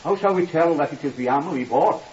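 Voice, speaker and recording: Actor as an anxious, formal, high-voiced grieving man. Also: darkish tone, lightly acted. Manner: formal, halting, questioning. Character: anxious, grieving, polite man, a murder victim's brother. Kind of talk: 1950s radio drama acting. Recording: muffled, hissy 1950s radio recording.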